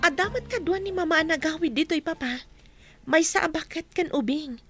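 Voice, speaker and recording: Woman playing a very high-voiced curious child. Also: normal speed, soft background music. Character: curious young child of the household. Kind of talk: Ilocano radio drama acting.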